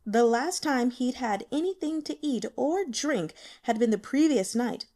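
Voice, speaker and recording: A woman narrating a story as an audiobook reader, in an expressive scripted style. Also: a little high, a little slow.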